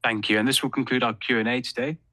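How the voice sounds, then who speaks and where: Very fast, bright male call operator. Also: mid-range voice, steady tone. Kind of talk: formal call moderation.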